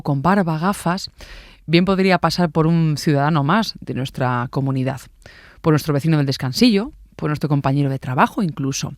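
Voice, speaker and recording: A man from narrating a true-crime podcast in a measured, scripted delivery. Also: fairly high pitch, no room sound, studio quality.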